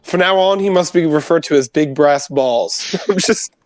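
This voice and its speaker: A man's voice: fairly high pitch, moderate pace, no room sound, soft background music. A man playing a tabletop roleplaying game, chatting casually in character with slangy, irreverent talk. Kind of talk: casual, in-character improvised chat.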